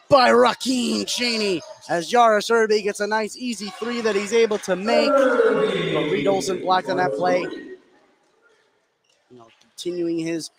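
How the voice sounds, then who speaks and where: An American man calling live basketball play-by-play on the radio, getting excitable on big plays. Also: high-pitched. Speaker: sports radio play-by-play announcer. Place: indoor high school basketball game. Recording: noisy live gym broadcast.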